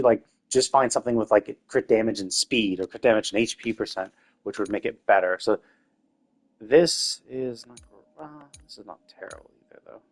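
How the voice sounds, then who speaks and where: A man streaming a game live, thinking aloud in a loose, rambling way with lots of restarts. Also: mid-range voice. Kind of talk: casual live-stream commentary while playing.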